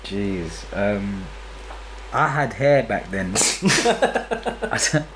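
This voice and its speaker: A man with a London accent telling personal stories in casual, slangy, animated chat as a show guest. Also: fairly low pitch, extremely slow, a little hiss or hum.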